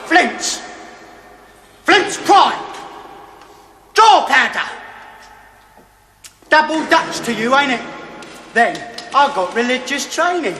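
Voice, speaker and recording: A man's voice: very high voice, big pitch swings, slow pace, long pauses, pushed and bright, exaggerated voice. An actor playing a cocky, eager young male recruit, boastful and given to barking like a drill instructor. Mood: boastful, excited. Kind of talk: theatrical television stage-play acting. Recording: echoey hall, noisy old TV tape.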